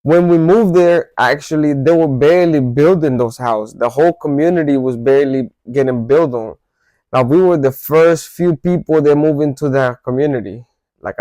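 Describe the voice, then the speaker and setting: A man's voice: mid-range voice, moderate pace, warm tone, no echo, poor audio. A man from El Salvador, telling his life story to his viewers in a loose, conversational way with false starts and self-corrections. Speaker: vlogger. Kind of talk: casual storytelling to camera.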